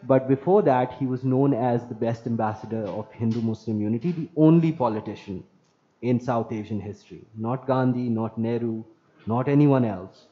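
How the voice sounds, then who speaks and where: A man from Pakistan, speaking on an online panel in a measured, lecturing way and repeating phrases for emphasis. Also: mid-range voice. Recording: muffled lo-fi audio.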